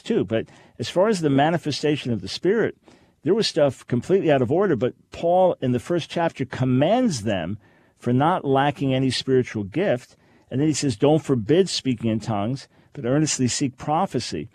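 A man hosting a call-in radio show, talking in an animated, preacherly way and acting out quoted voices. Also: medium pitch, no echo. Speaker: radio host. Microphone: studio microphone.

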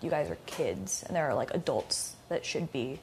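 A woman's voice: sits low, expressive, moderate pace, very noisy. A woman interviewer chatting casually, saying "like" a lot, in a played-back interview clip. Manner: casual, uses "like" often.

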